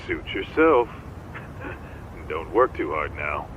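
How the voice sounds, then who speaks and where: A man's voice: extremely low pitch, very animated, slow pace, fairly bright tone, exaggerated voice. A man voicing a brash, breezy, impatient sidekick in a video game, slangy and offhand, in muffled, noisy audio.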